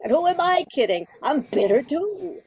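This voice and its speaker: A woman's voice: sits high, sing-song, moderate pace, darkish tone. A woman playing a wistful, genteel old Christmas carol come to life, with theatrical delivery in a radio play. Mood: nostalgic. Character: wistful, prim old female Christmas carol sheet music.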